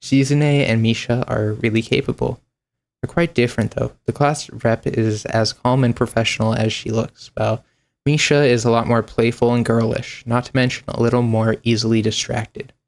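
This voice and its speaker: A young man streaming, reading a visual novel aloud in a relaxed, casual way. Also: medium pitch, moderate pace, vocal fry. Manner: relaxed, casual, giggly asides.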